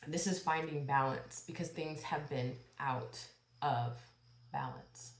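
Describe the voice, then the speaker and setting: Woman, tarot reader, low voice, slow spiritual delivery, slightly stuffy with a cold, echoey room. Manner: slow, spiritual, addresses viewers directly. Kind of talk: channeled tarot reading.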